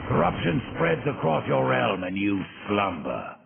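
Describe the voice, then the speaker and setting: A man voicing a grim, terse male horseman in a game cutscene, with a gravelly voice and a dry, menacing way of asking questions. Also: mid-range voice, huge pitch swings, unhurried, background noise, heavily acted. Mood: stern. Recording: tinny lo-fi game audio with music.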